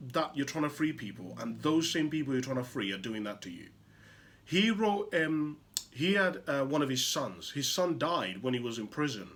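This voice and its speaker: A man from Uganda hosting a motivational show, talking emphatically with rhetorical questions and swinging from loud to hushed. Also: on the high side. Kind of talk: impassioned motivational monologue.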